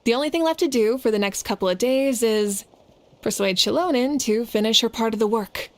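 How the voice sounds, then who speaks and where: A woman's voice: fairly high pitch, brisk, natural voice. A woman voicing a confident, friendly game guide. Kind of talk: game cutscene voice acting.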